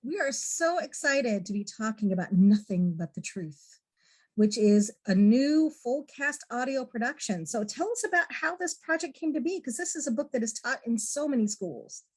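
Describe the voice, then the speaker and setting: A woman's voice: fairly high pitch, expressive, moderate pace, husky. A woman hosting a conversational interview in an enthusiastic, agreeable manner. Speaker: interview host.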